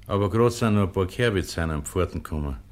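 An actor playing a humble, gently persistent monastery porter in a radio play, pleading with a Bavarian accent. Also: male voice, deep voice, expressive, fast talker. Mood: humbly pleading. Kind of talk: radio play dialect acting.

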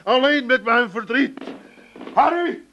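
An actor playing a flustered, plaintive grown son with an Amsterdam accent in a radio comedy. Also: male voice, very high-pitched, lively intonation, slow, bright, forceful tone, highs cut off, slight background noise, heavily acted. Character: hapless, whiny grown son, easily upset.

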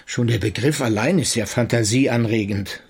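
A man's voice: mid-range voice, normal speed, husky. A man playing a grumbling, sceptical senior police detective in a radio crime drama, wry and questioning. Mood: wry, probing.

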